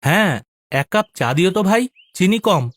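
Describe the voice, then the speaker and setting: A man playing a curious, stubborn young city visitor in an animated story, questioning everything persistently. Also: high-pitched, normal speed, dry recording. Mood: casual. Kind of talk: animated audio story dialogue. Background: music.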